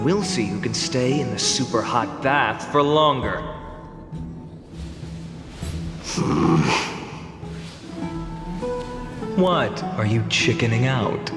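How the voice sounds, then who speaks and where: A young fantasy game hero, an eloquent friendly rival. He teases in slow, measured phrases with widely moving pitch. Music plays behind his reverberant voice. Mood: teasing, challenging. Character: smooth-talking teasing young hero scholar, friendly rival. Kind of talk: video game dramatic acting.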